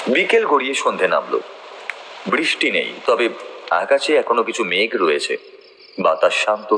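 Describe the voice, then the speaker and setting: A man with a low, animated voice narrates a scripted ghost story in the first person. Clear ambient noise runs behind his voice. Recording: noisy nature ambience behind voice.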